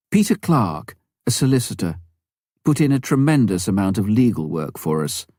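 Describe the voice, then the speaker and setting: Male audiobook reader for memoir narration: lowish pitch, animated melody, deliberate phrasing, dry recording. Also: no room sound.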